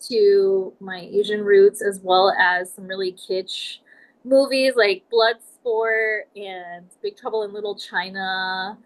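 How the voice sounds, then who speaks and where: A woman in her forties from Nebraska, a podcast guest telling her life story in a reflective, measured way. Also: medium pitch, steady tone, low-quality recording. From United States, Nebraska. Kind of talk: casual interview talk.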